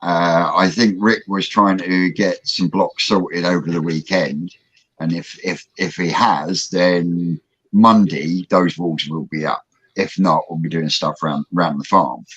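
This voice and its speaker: A man's voice: fairly even intonation, a little slow, husky, lo-fi sound. A man co-hosting a casual live chat, rambling and laughing easily. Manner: rambling, laughs often, drops low and quiet. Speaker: co-host.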